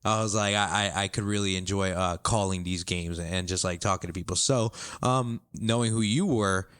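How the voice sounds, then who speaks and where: A young man hosting a podcast, chatting casually and telling a story with bursts of excitement. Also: clean tone, no echo, clean studio sound. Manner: animated storyteller, quick bursts and excited jumps in pitch. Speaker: podcast host and basketball commentator. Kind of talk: casual chat.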